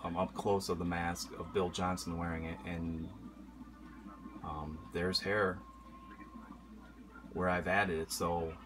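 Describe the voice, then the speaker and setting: A man's voice: low-pitched, slow pace, pauses often, background noise. A man casually showing off a collectible horror mask in a vlog, talking loosely with lots of ums and uhs. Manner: relaxed, many filler ums and uhs. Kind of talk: casual show-and-tell vlog. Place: indoor room with horror posters. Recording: music playing clearly behind.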